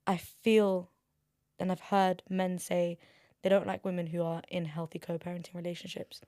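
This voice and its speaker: A woman, hosting a casual interview in a chatty, animated way and acting out little quotes. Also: lowish voice, normal speed, no room sound, studio quality. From Somalia. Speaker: podcast host.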